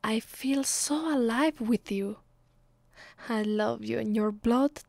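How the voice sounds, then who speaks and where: A woman with an Italian accent playing an affectionate, clingy vampire girlfriend in an ASMR roleplay, speaking softly, intimately and a little hesitantly. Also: fairly high pitch, slow, vocal fry, no echo. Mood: loving, content. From Italy. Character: affectionate, clingy, playfully pleading young female vampire girlfriend. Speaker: voice actress.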